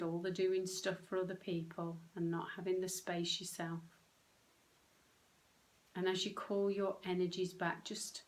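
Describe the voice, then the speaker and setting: A woman guides a meditation in a gentle, slightly low voice. Her intonation stays very level and her delivery is very slow, with short phrases set apart by long, restful pauses. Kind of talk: guided meditation, soothing instruction.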